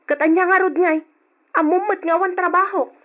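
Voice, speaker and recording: A woman's voice: extremely high pitch, fairly even intonation, moderate pace. A woman with an Ilocano accent playing a frustrated wife and mother who presses her husband insistently, in an old radio drama. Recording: dull lo-fi old radio recording.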